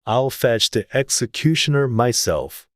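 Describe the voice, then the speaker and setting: A pompous, haughty king, acted out by a man in a storybook audiobook. He is fussy and clipped, sitting at a medium male pitch in a very dry recording. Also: lively intonation, a little slow, no echo, close to an everyday voice. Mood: fussy, decided. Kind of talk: dramatized storybook audiobook acting.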